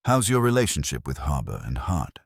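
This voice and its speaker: A man's voice: extremely low pitch, wildly swooping pitch, brisk, vocal fry, slightly rough, no echo, exaggerated voice. A man voicing a cool male private detective who asks clipped, dry, probing questions in a crime story.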